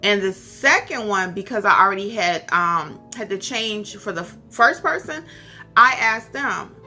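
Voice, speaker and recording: Woman, American accent, bright crisp-edged tone, emphatic instructor delivery, repeats key points, light background music. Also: mid-range voice, lively intonation, unhurried. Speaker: instructor and host of tutorial videos for notary signing agents. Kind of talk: instructional talk with acted-out example phone calls.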